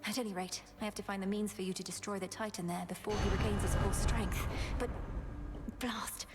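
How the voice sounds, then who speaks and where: An actress playing a determined young princess and sister in a video game, earnest, formal and urgent. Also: female voice, mid-range voice, steady tone, brisk, heavy background noise, natural voice. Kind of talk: video game dialogue acting.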